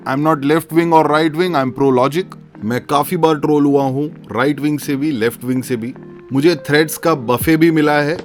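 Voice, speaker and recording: A man with an Indian accent giving an earnest, emphatic opinion monologue to camera in a deliberate way, as a comedian and commentator. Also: medium pitch. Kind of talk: scripted opinion monologue to camera, mixing Hindi and English.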